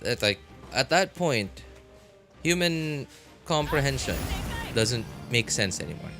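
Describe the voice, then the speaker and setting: A man livestreaming a game, rambling casually and thoughtfully, often halting and repeating his phrases as he works out a thought. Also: medium pitch, very animated, fairly bright tone. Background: background music.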